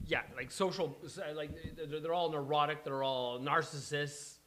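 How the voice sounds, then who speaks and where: A man hosting a live script-reading event in a casual, halting, scattered way, poking fun at himself and swearing freely. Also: a little high, fairly even intonation, pushed and bright. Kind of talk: casual unscripted stage introduction. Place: live event venue before a small audience.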